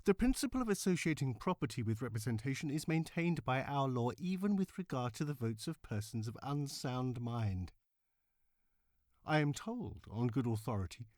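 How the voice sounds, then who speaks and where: An impassioned, cultivated campaigner for women's votes, voiced by a man at a middling pitch. The oratory is formal, measured and emphatic, like a dramatic reading of a historical speech. The sound is dry. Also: darkish tone, no room sound, lightly acted. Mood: indignant, ironic. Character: impassioned, cultivated suffragist woman orator of the 1900s.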